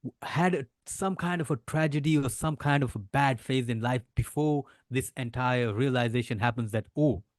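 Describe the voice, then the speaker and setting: A man's voice: mid-range voice, moderate pace, no echo, studio quality. A man from Kenya hosting an interview, affirming his guest and laughing along, asking long rambling questions. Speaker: podcast host. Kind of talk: casual interview chat. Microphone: video call.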